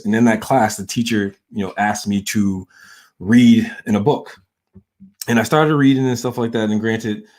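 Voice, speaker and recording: A man from the American South talking casually to his audience in a hesitant, rambling way, full of ums and restarts. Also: on the low side. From Southern United States. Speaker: channel host. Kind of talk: casual personal monologue.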